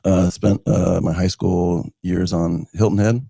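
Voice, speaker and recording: Gravelly low-voiced man telling his story. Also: fairly even intonation, measured pace, warm tone, no room sound, studio quality. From northern New Jersey, United States. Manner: rambling, with many fillers and restarts. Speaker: guest, community foundation director. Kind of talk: casual conversational storytelling.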